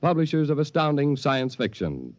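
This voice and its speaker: A man's voice: a little high, big pitch swings, normal speed, darkish tone. A man announcing in the formal style of 1950s network radio. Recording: dull old radio recording. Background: music.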